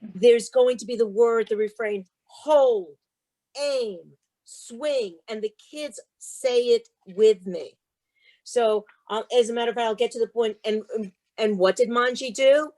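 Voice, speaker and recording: A woman's voice: a little high, unhurried, darkish tone, low-quality recording. A woman speaking as a guest author, with an emphatic, rhythmic delivery suited to sharing and reading storybooks. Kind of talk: interview talk and expressive storybook read-aloud.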